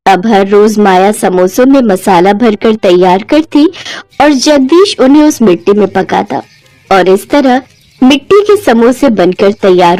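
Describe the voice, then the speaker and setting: A woman narrating a moral cartoon story in a clear, steady storytelling voice. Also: on the high side, dry recording, poor audio. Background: soft background music.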